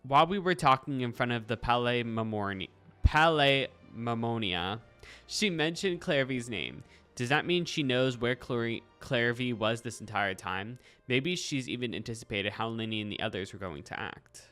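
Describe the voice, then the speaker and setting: A man's voice: mid-range voice, animated, normal speed, a bit bright, clear, resonant tone. Man muttering casual game reactions. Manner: muttering, incredulous asides, repeats himself. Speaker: reaction video host. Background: faint game music.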